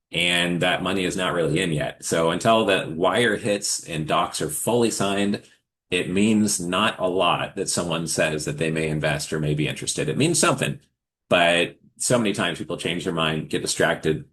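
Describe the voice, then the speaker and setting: Male forum host, deep low register, informal advisory talk, explanatory and conversational. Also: a bit bright. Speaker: host of a live forum for investors and founders. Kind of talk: casual advisory webinar, answering audience questions.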